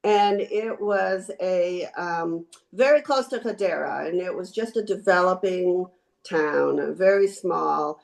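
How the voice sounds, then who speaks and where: An older woman from Israel talking casually as an interview guest, halting and correcting herself as she goes. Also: lowish voice, creaky. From born in Israel. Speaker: podcast guest, retired teacher. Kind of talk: casual conversational reminiscing.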